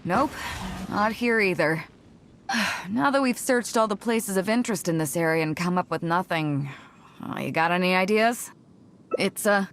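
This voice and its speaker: A woman's voice: fairly low pitch, lively intonation, normal speed, a bit bright. An actress playing a confident, easygoing female pirate captain in a video game, with a swaggering, casual and self-assured manner. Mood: casual, searching. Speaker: video game character voice actor. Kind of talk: scripted game dialogue. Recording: clean studio voice over game music. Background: game background music.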